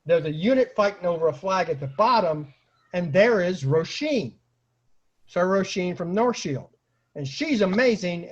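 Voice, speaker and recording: High-voiced man telling animated stories. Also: breathy edge, highs cut off. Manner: acts out quotes and sound effects, bursts into exclamations. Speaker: storyteller and host of an online story series. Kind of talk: animated casual comic storytelling.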